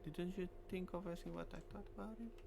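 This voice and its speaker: A man's voice: sits high, fairly even intonation, fast talker, darkish tone, pitched above the performer's own voice. A man playing a matter-of-fact police squad teammate in a visual novel. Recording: clearly noisy stream with hum.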